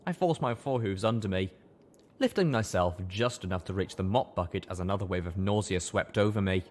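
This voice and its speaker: A man narrating a first-person story aloud with expressive, scripted audiobook delivery. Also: deep voice, a little fast, a little hiss or hum.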